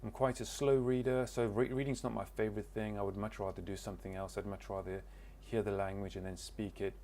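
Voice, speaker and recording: A man speaks in a fairly low voice, introducing himself to camera. He talks casually and hesitantly, restarting sentences as he searches for words. A faint background hum sits under the recording. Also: little pitch movement, a little fast, darkish tone. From Cornwall, England. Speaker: language learner.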